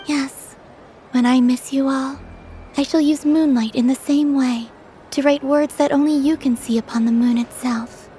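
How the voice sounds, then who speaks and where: Soft-voiced, wistful young moon maiden played by a woman. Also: sits high, fairly even intonation, normal speed, slight background noise, natural voice, rough recording. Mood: calm, tender. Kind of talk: video game cutscene voice acting.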